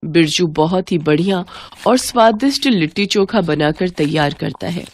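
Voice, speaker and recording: A woman's voice: on the low side, lively intonation, moderate pace. A woman narrating a scripted moral story, with slight noise in the recording.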